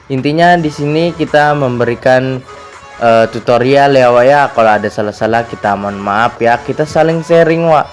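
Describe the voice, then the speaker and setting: Casting: male tutorial host, mid-pitched slightly hoarse voice, chatty casual delivery, music underneath. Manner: chatty, repetitive verbal tags. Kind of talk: casual screen tutorial narration.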